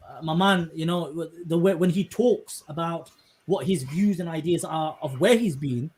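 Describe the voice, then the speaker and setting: A man's voice: a little high, normal speed, darkish tone. A man hosting a podcast conversation, courteous and hesitant, often repeating words as he searches for his phrasing. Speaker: podcast host. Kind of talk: conversational interview.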